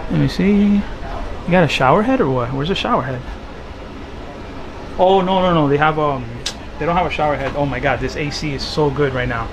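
A young man, live-streaming from a boat show in a relaxed, slangy way, worn out by the heat. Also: fairly high pitch, brisk, pauses often. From Miami, Florida. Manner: casual, excitable, slangy, drops Spanish phrases. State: tired from the heat. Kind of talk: live-stream walkaround chat. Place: crowded outdoor boat show docks and boat cabins. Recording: busy crowd noise behind. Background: crowd chatter.

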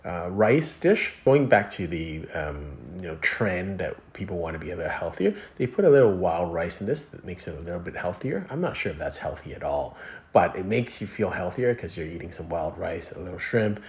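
A man with a low-pitched voice narrates a casual food review. He explains things as he goes, in a loose, rambling way with a hesitant flow. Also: very animated, normal speed.

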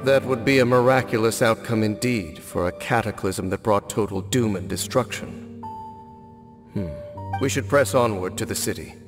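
A man playing a brooding, world-weary knight in an anime video game, speaking in grave, measured, formal phrases with a knowing aloofness. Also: on the low side, natural voice. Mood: composed, commanding. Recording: long reverb, game music behind.

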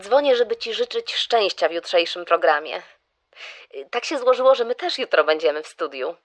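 An actress playing a scheming wife making a coaxing phone call in a dramatised podcast. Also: female voice, medium pitch, expressive, normal speed, faint music behind, lightly acted. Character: scheming wife phoning an acquaintance.

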